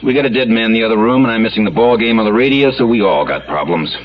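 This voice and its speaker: Actor as gruff, deep-voiced police detective. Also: male voice, fast talker, over a noisy crowd, close to an everyday voice. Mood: impatient. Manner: gruff, clipped, impatient. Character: hard-nosed male police detective, brusque baseball fanatic. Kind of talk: radio drama acting. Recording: muffled old radio broadcast.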